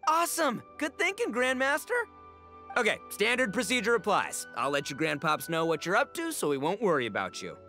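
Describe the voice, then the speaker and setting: Casting: boastful young oni gang leader, bombastic and slangy, very animated pitch, music underneath. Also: male voice, brisk, full character voice. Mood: excited. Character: brash, boastful, excitable young male oni gang boss. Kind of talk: animated game voice acting.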